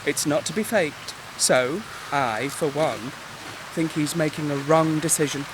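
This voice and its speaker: An actor playing a mopey, passive-aggressive British man in an audio comedy drama, deadpan and pointed. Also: fairly high pitch, measured pace, noisy background, close to an everyday voice. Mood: wounded, mournful. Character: mopey, passive-aggressive man nursing his own heartbreak. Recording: rain and outdoor ambience behind.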